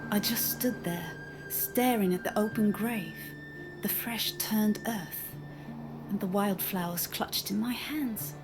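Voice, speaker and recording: A woman playing a grieving, haunted narrator who recalls a burial in a solemn, hushed and deliberate way. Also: medium pitch, lightly acted. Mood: hushed grief. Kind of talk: scripted dramatic first-person narration. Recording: music behind the voice. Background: music.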